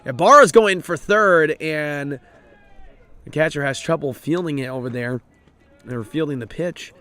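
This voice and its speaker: A hoarse male baseball announcer calls play by play at an outdoor ballpark. His voice is raspy and worn, sitting a bit high for a man. He talks steadily through stats and rises with the plays. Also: a little slow. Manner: steady, informative, rises with the action. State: hoarse, voice drained. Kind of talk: live baseball play-by-play commentary.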